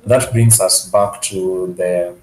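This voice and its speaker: A man calmly explaining code to others at a meetup. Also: sits low, slow, reverberant, slight background noise, low-quality recording. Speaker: meetup participant.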